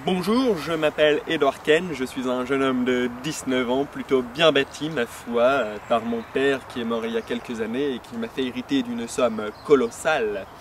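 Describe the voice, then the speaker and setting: A man's voice: medium pitch, normal speed, few pauses, background noise, lightly acted. A man playing an arrogant, rich nineteen-year-old heir in a reality show parody. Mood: self-satisfied.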